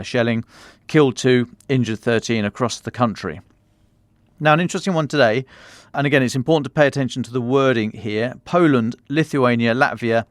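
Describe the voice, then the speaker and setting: A man with a British accent giving a measured, explanatory news analysis briefing as a podcast commentator, with the odd colloquial turn. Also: mid-range voice, no echo.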